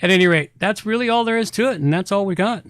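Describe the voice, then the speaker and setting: A man's voice: sits high, fast, clean tone, no room sound. A man hosting a tech podcast, talking casually and explaining things with emphatic repetition. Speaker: podcast host, website repair specialist.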